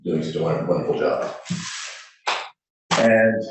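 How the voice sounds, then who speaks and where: Deep-voiced man in an echoey room. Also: moderate pace, noisy background. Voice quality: loud voice. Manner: steady, formal, with many hesitation fillers. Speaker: city councillor. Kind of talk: giving a council report. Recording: echoey room, far from the mic.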